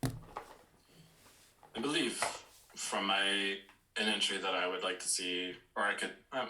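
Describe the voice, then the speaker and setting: A man co-hosting a podcast, thinking aloud in a measured, hedging way during casual discussion. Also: sits low, pushed and bright. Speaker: podcast co-host. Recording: echoey room.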